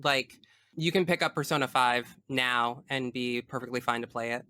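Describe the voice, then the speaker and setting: A man's voice: medium pitch, normal speed, bright, forceful tone, clean tone, dry recording, studio quality. A man speaking as a podcast guest, chatting casually about video games in a frank, geeky way.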